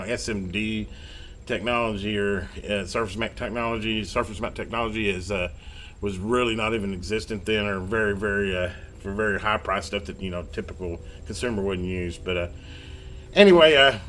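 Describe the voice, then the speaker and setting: Middle aged guy, lowish voice, rambly folksy repair video chat, some background noise. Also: a bit bright. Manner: rambling, self-deprecating, folksy. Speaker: electronics hobbyist and repair video host. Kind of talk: casual workbench chat while repairing.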